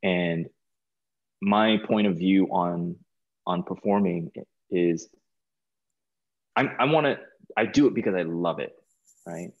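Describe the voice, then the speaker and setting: A middle-aged man with an American accent and a fairly low voice answers as a guest in a casual interview. He speaks informally and haltingly, circling back over words. The sound is a little muffled, as on a video call. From California, United States. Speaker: guest, stage performer. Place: small plain room indoors, video call.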